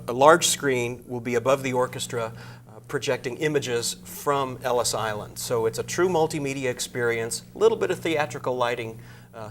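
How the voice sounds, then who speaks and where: A man speaking as an interview guest and arts director, explaining things in measured phrases. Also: medium pitch, a bit bright. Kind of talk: interview talk about a concert program. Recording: clear background noise with hum.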